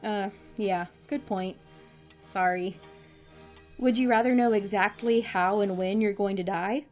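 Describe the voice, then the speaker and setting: A woman's voice: medium pitch, steady tone, measured pace, faint music behind, natural voice. An actress playing a wry, irreverent young woman, a newly made director, with casual sarcastic speech in an audio drama. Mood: frank, sardonic.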